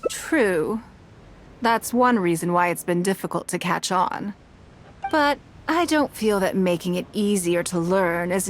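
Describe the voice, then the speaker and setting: A woman's voice: lowish voice, lightly acted. A woman voicing a poised, commanding noblewoman. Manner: measured, composed, authoritative. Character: poised wealthy woman leader, composed and commanding. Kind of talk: game voice acting. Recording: game dialogue over faint music.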